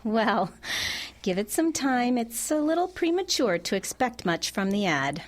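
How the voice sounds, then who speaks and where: A woman's voice: on the high side, very animated, measured pace. An actress playing a skeptical, earnest, easily exasperated small-town mother in a radio comedy mystery. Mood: reluctant, polite.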